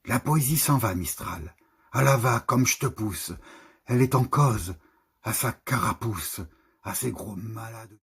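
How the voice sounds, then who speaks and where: A man reads verse at a slow, measured pace. His voice is very husky and breathy, with a gravelly creak underneath. He sets each short phrase apart deliberately. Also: mid-range voice, fairly bright tone. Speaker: poetry reader. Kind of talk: poetry recitation.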